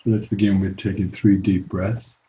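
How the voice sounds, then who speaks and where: Guy teaching meditation, deep soft-toned voice, calm and gentle w long pauses, recording a bit muffled. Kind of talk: guided meditation.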